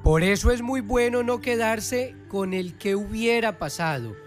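High-pitched, slow-paced man pitching on radio. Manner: preaching, slow repeated recital of phone numbers. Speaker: spiritual healer hosting a radio program. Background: music.

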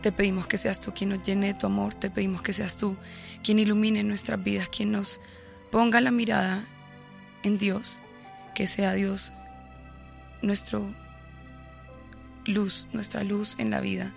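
A young woman from Bogotá with a Colombian Caribbean coast accent, sharing her faith testimony and leading a prayer in a soft, earnest voice. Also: mid-range voice, unhurried, creaky, pauses often, soft background music. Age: 20s. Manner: earnest, leads prayer in a soft steady cadence. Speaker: guest giving testimony. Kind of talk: casual chat and spoken prayer.

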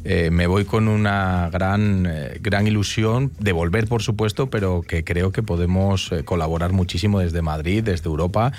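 A man's voice: low voice, normal speed, few pauses, darkish tone. A middle-aged man with a Castilian Spanish accent, giving emphatic, conversational answers as an interview guest. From León, northern Spain. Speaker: interview guest, city councillor.

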